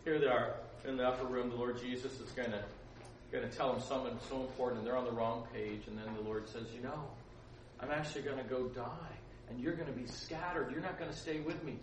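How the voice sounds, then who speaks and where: Animated preacher, echoey room. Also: male voice, mid-range voice, quick pace. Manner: acts out voices, laughs often, chatty asides. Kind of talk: animated storytelling sermon. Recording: roomy echo, distant mic, background noise.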